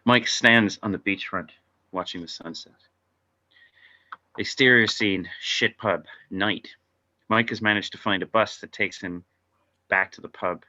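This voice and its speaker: A man's voice: lowish voice, steady tone, measured pace, long pauses, sharp tone, muffled recording. A man reading stage directions at a script table read, narrating scenes in a wry, offhand way.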